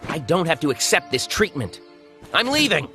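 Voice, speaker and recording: Storybook monkey hero, played by a woman: hot-tempered, cocky, animated delivery with a sharp edge. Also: low voice, moderate pace, soft background music, pitched below the performer's own voice, exaggerated voice. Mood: angry. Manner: brash, indignant. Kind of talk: children's audiobook character voice.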